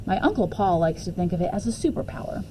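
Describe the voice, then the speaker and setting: A woman's voice: lowish voice, very animated, fast, warm tone, a little hiss or hum, rough recording. A woman reading a children's novel aloud expressively in the first person, as a storyteller. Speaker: teacher reading a book aloud.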